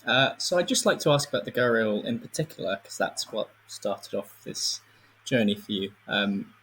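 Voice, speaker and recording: A man's voice: fairly low pitch, lively intonation, normal speed, a bit bright, slight background noise. A man hosting a podcast interview, asking friendly questions with a few fillers. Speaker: podcast host, assistant editor.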